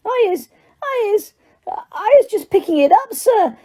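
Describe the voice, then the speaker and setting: A tiny female house-elf servant from a fantasy storybook. The voice is squeaky and pitched far up. It stammers and pleads in broken grammar, frightened and submissive. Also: big pitch swings, measured pace, dark tone, full character voice. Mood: tearful pleading.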